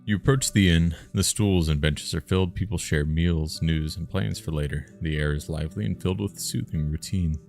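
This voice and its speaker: A man's voice: low-pitched, moderate pace, creaky, hardly any pauses, a little mellow, soft background music. A man reading a fantasy game's narration aloud on a live stream, mixed with casual banter.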